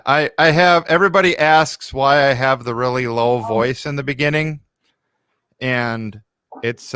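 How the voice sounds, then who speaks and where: Male live-stream guest, smooth and dry-sounding, casual, slightly hesitant delivery. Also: medium pitch, fairly bright tone, no room sound. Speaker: musician guest.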